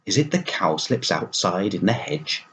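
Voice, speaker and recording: A man's voice: on the low side, sing-song, normal speed, creaky, a bit bright, a little hiss or hum, exaggerated voice. A man voicing a fussy, tidy little female wood mouse with a prim, scolding manner in a storybook. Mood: suspicious.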